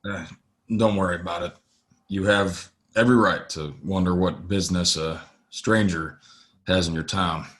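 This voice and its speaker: Male actor, calm Western drifter for audio play: deep, guarded, few words. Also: normal speed. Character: calm, laconic drifter in an Old West town. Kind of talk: Western audio drama acting.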